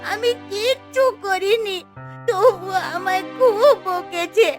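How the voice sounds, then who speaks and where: A woman's voice: extremely high pitch, takes it slowly. A woman voicing an innocent little village girl with a plaintive, childlike manner in a fairy-tale cartoon. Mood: hurt, plaintive. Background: music.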